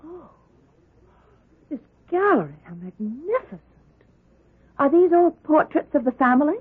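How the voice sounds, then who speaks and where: An actress playing an inquisitive, composed woman doctor concerned for her sister, with an expressive, probing delivery in a radio drama. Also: sits high, measured pace. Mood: awed, startled. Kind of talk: old-time radio drama acting. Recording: muffled vintage radio recording.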